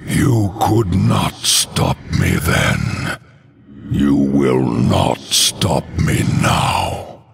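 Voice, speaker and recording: A cruel undead death knight king, rumbling extremely low with a heavily rasping, husky growl. He makes imperious, menacing declarations very slowly, as a video game villain-hero would. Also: wildly swooping pitch, soft background music, heavily acted. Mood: imperious, solemn. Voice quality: very deep, gravelly rasp. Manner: slow, menacing, commanding declarations.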